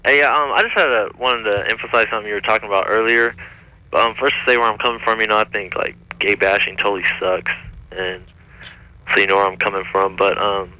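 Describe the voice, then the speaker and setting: A man's voice: lowish voice, steady tone, quick pace, bright, forceful tone, slight background noise. A man in his twenties from France calling in to a radio show on a phone line, hesitant and full of fillers. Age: 20s. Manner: hesitant, lots of fillers and restarts. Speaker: caller. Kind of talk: phone-in call.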